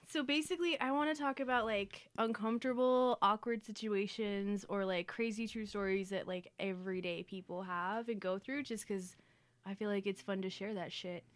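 A woman's voice: mid-range voice, fairly even intonation, normal speed, dry recording. A woman guesting on a podcast, chatting casually with lots of fillers, laughs and swearing.

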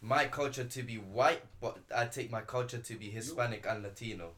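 A man's voice: medium pitch, steady tone, a little fast, a bit bright, a little hiss or hum. A young man from Spain and Puerto Rico speaking hesitantly, with many fillers, in a casual podcast discussion. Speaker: podcast panelist.

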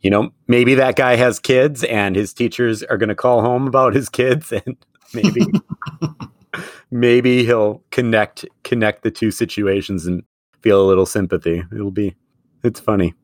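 Man, low voice, dry close sound, chatty, laughs a lot, casual sports podcast co-host. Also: fairly even intonation, measured pace, no room sound. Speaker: middle school teacher.

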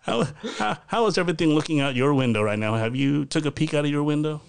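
A man's voice: fairly high pitch, animated, fast, creaky, clear, resonant tone. Chatty man hosting a podcast. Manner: chatty, rambling with many fillers and restarts. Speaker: podcast host. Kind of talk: casual chat on a live video podcast. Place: bedroom at home. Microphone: video call.

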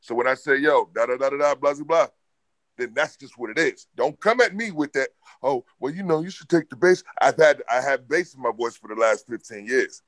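Deep-voiced, boisterous man, firm and authoritative, dry close sound. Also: expressive, fairly quick, no echo. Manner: loud, boisterous, talks with authority. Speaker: podcast co-host. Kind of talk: casual debate chat.

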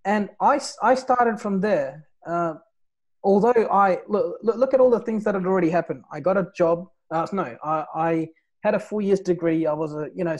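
Higher-voiced man chatting, full of "you know"s. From Nepal. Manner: peppers talk with "right?" and "like, you know". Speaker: podcast guest. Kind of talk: casual interview, telling his life story.

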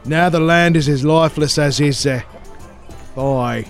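A man's voice: a little high, a little slow, soft background music, lightly acted. A man playing a cheeky, chatty peasant in a comic radio play. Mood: sly, gossipy. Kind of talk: 1940s-style radio play acting.

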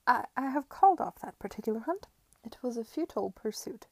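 A woman voicing a mild, evasive young gentleman in a period audiobook. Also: mid-range voice, expressive, brisk, dry recording. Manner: idle, hesitant, polite.

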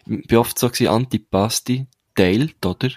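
A man's voice: mid-range voice, moderate pace, darkish tone, no room sound, studio quality. A man with a Swiss German accent in a casual podcast chat, talking colloquially and anecdotally with slang and frequent tag questions. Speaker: podcast co-host.

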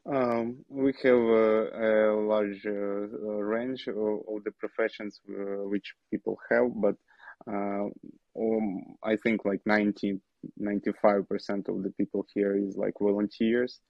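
Ukrainian guy soldier talking slow in english, lots of uhs, gravelly flat voice, kinda muffled audio. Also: lowish voice, dry recording. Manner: halting, many fillers, searching for words. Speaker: soldier, platoon commander. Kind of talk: interview answers in a second language.

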